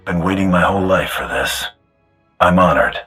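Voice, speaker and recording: Very deep, gravelly bounty hunter. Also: male voice, normal speed, fairly bright tone, soft background music. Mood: gruff, resolute. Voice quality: gravelly, hard-edged. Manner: terse, cool. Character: tough, laconic male bounty hunter champion. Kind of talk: video game cutscene acting.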